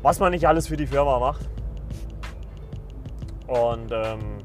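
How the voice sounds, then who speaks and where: A man's voice: mid-range voice, slow, faint music behind. A man in his thirties from Saxony, hosting a podcast in a casual, meandering way full of filler words and restarts. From Saxony, Germany. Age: 30s. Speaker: podcast host. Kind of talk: casual rambling monologue.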